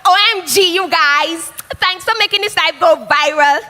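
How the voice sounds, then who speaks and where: A woman doing a shrill, melodramatic influencer. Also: normal speed, pushed and bright. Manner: melodramatic, fake-crying, shrieking laughs. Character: vain self-absorbed female social media influencer. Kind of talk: comedic livestream parody. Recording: long reverb.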